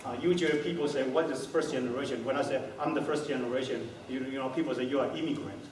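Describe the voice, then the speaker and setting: A man's voice: medium pitch, steady tone. Man speaking in an echoey room. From Taiwan. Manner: halting, many fillers and restarts. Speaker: company founder giving a talk. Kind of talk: conference talk to a small audience. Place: hotel meeting room, indoors. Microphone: far camera, no handheld mic. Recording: echoey room from far off, noisy.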